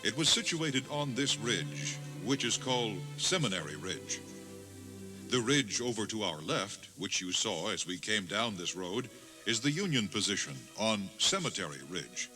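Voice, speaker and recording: A man giving solemn, measured, dramatic scripted narration as a tour guide. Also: on the low side, sing-song, bright, forceful tone, soft background music.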